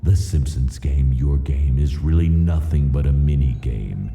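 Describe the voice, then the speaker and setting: An almighty God with an extremely deep, gravelly voice who talks like a relaxed gamer. His tone is dark and mellow, and the voice rings with echo. He explains things in a casual, offhand way. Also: a little slow, faint music behind. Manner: casual, offhand, matter-of-fact. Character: laid-back almighty male God, a gamer at heart. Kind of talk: cartoon video game cutscene acting.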